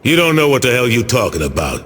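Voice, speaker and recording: An actor playing an aging former gang leader in a video game, streetwise, weary and argumentative. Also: male voice, mid-range voice, very animated, fast, a bit bright, breathy edge. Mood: defiant. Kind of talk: video game cutscene acting.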